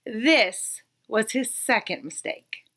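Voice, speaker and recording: A woman in her thirties reading a children's picture book aloud expressively, with dramatic pauses and emphasis. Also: a little high, lively intonation, bright, forceful tone, slight background noise. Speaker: read-aloud storyteller.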